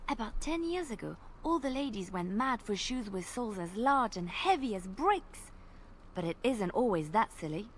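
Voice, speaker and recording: A woman voicing a young female bard and former spy in a video game, speaking in a soft, lilting way and lingering on detail. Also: medium pitch, very animated, moderate pace, slight background noise, natural voice. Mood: fond. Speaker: game companion character.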